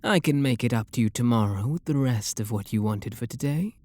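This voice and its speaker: A man playing a refined, possessive vampire lord with a British accent in an ASMR roleplay, speaking softly and deliberately in a genteel, flirtatious manner. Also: mid-range voice, natural voice. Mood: gently insistent.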